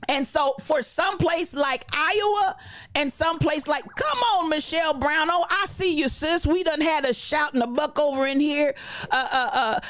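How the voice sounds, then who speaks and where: Animated high-voiced pastor woman in her fifties. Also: moderate pace. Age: 50s. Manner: animated, preacherly, calls out to viewers. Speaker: pastor and livestream host. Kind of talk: lively livestream talk greeting chat.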